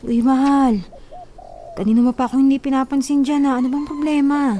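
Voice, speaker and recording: A woman's voice: high voice, fairly even intonation, fairly quick, dark tone, a little hiss or hum, lightly acted. A woman acting a distressed, pleading wife who resists her husband in a radio drama. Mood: hurt, pleading. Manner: pleading, emotional protests.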